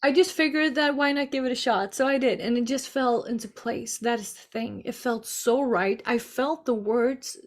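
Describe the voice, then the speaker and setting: A Swedish woman whose first language is Swedish, talking about her songwriting in a relaxed interview. Her voice sits on the higher side, and she speaks animatedly and laughs easily. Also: moderate pace, hardly any pauses. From Sweden. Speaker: band singer and lyricist. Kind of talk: casual interview chat.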